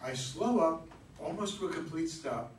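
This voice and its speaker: A man's voice: on the high side, animated, moderate pace, a little mellow, lightly acted. A man acting an exasperated, defensive middle-aged husband in a staged comedy reading, argumentative and incredulous. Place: echoey room, mic away from performers. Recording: roomy distant stage recording, faint noise.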